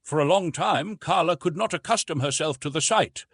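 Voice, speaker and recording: A man narrating a classic adventure novel as an audiobook, reading in a dramatic, measured literary manner. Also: fairly high pitch, a bit bright, breathy edge, no echo, studio quality.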